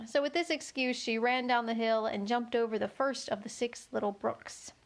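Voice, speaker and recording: High-voiced woman reading a children's story. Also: fairly even intonation, moderate pace. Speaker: storybook reader. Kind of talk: children's story read-aloud.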